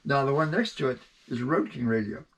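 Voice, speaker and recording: A man chatting casually and telling anecdotes in an animated way, like a hobbyist showing off a collection. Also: medium pitch, brisk, low-quality recording. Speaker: CB radio collector. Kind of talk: casual storytelling and chat.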